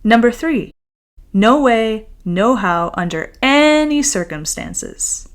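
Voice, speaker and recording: A woman's voice: a little high, expressive, takes it slowly. Woman author reading a storybook aloud. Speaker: children's author reading their own book. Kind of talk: expressive storybook read-aloud and friendly chat to young listeners.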